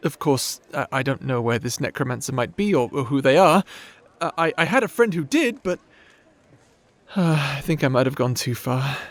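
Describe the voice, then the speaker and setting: A man's voice: medium pitch, lively intonation, normal speed, dry recording, close to an everyday voice. A man playing a shy, nerdy young wizard in a fantasy audio roleplay, awkward, apologetic and polite, stammering through his words. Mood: nervous, evasive.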